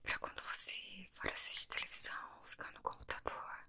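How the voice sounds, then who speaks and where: A young woman with a Brazilian accent plays an optician in an ASMR roleplay. She whispers very close to the microphone in an unhurried, soothing way. The breathy sound is sharply bright, with some crackle in it. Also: rumbling low, completely flat delivery. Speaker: ASMR creator playing an optician. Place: small indoor room. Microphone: close desktop binaural microphone with foam cover.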